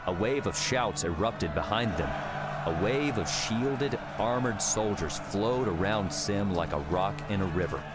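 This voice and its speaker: Low-pitched male audiobook narrator. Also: animated, measured pace, over a noisy crowd. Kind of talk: dramatic scripted fiction narration. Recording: background music under the voice.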